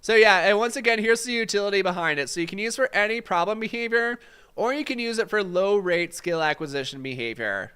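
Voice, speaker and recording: Congested guy running a live exam study stream, highish bright voice, clear tone, lots of um and like. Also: a little fast. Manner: casual, reads chat questions aloud, filler-heavy. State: congested, voice fading. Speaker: exam prep instructor.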